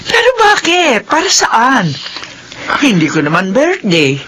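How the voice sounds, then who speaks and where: Devoted mother, tender, emotional, middle-aged, lively intonation, radio drama. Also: a little high, slow, husky, heavily acted. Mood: surprised. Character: humble, loving, proud mother of grown son. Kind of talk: Filipino radio drama acting.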